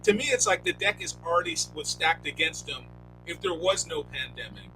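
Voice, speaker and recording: A man co-hosting a casual sports podcast, talking expressively with sharp jumps in pitch on key words. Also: wildly swooping pitch, a little fast, vocal fry. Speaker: podcast co-host. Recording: noisy line with hum.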